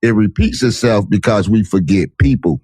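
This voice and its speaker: A man's voice: fairly low pitch, normal speed, breathy edge, dry recording. Hype motivational male podcast host. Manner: energetic, motivational, slang-heavy. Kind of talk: interview podcast.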